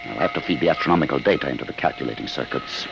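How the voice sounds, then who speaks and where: An actor playing an embittered, obsessed old scientist in a radio drama, grave, intense and defiant. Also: male voice, very deep voice, lively intonation, racing, gravelly, dull audio, over music, heavily acted. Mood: intent, determined. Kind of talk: 1950s American radio drama acting. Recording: noisy, hissy old radio recording.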